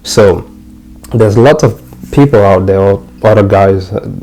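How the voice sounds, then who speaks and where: A young man from Cameroon with a lowish, mellow voice talks viewers through his trading dashboard in a loose, repetitive way, often circling back over the same phrase. Soft music plays faintly underneath. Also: unhurried, poor audio. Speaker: trading channel host. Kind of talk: casual screen walkthrough.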